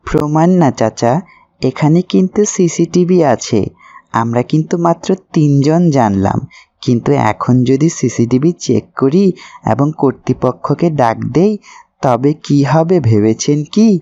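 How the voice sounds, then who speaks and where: A man's voice: mid-range voice, animated, measured pace, mellow, highs cut off. A man voicing an earnest, pious young man in a moral cartoon, speaking didactically. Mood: firm. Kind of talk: cartoon voice acting, moral preaching.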